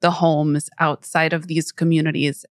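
A woman's voice: low voice, little pitch movement, normal speed, dry recording, studio quality. A woman interviewing a guest, asking curious, thoughtful questions in a casual way.

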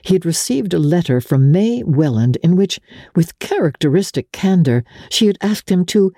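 A woman giving a dramatic reading of literary fiction as an audiobook narrator, with measured, expressive and deliberate phrasing. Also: fairly low pitch, dry recording, studio quality.

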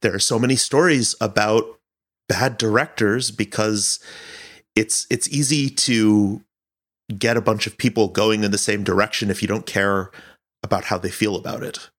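Low-pitched, thoughtful man being interviewed. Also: fairly even intonation, moderate pace, fairly bright tone. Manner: earnest, thoughtful, searching for words. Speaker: audio fiction director being interviewed. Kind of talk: conversational interview answers.

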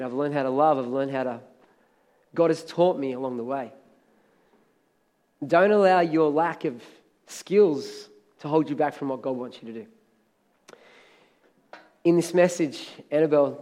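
A middle-aged pastor with a hoarse, crackly voice, preaching a sermon with energetic, emphatic repetition. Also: male voice, on the high side, moderate pace, pauses often.

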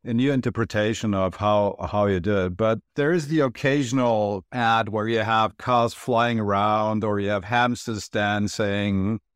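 Low-voiced man from Turkey explaining his work. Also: smooth, no echo, clean studio sound. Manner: thoughtful, explains with comparisons. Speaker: guest, studio founder. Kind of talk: interview answers.

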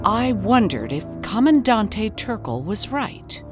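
A woman's voice: medium pitch, big pitch swings, slow pace, faint music behind. A woman podcast host, delivering scripted investigative narration and interview questions.

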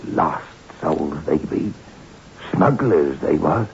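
A man's voice: rumbling low, animated, slow pace, creaky, full character voice. A man playing a kindly, superstitious rustic seaman with a West Country accent in an old radio drama, folksy and respectful. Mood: superstitious, grave. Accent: West Country English. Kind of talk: 1960s radio drama acting. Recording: muffled old radio with hiss.

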